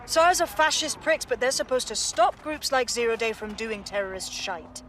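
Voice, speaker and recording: An actress playing a blunt, sweary, sardonic resistance leader with a British accent in a video game. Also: female voice, a little high, animated, moderate pace, fairly bright tone, a little hiss or hum. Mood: blunt, skeptical.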